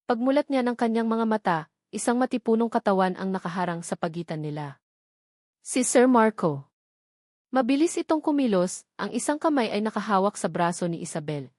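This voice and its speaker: A woman's voice: mid-range voice, lively intonation, a little fast, no echo, studio quality. A woman narrating a scripted melodramatic story in a suspenseful, emphatic manner.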